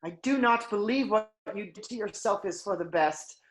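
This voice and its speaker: A woman's voice: mid-range voice, moderate pace, natural voice. A woman playing a grave chorus elder in a Greek tragedy, speaking formally and solemnly. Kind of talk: theatrical Greek tragedy reading.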